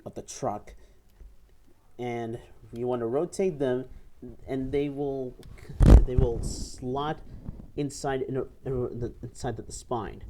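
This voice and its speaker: A young man giving step-by-step hands-on instructions as a toy reviewer, haltingly, often repeating and correcting himself. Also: mid-range voice, mellow, slight background noise. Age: young adult. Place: small room at home, indoors.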